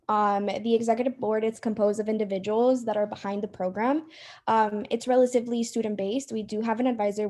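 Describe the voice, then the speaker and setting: A woman's voice: mid-range voice, dark tone. A young woman from Cuba, president of a student program, introducing the board in a casual, friendly talk with many ums. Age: 20s. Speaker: club president. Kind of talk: casual presentation to a student group.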